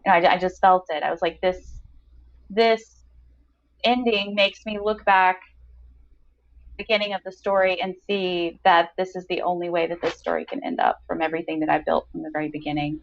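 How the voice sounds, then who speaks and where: A woman's voice: on the low side, steady tone, moderate pace. Female podcast host, gushing and keen, conversational delivery, somewhat dull recording. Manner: effusive, enthusiastic. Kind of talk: casual podcast chat.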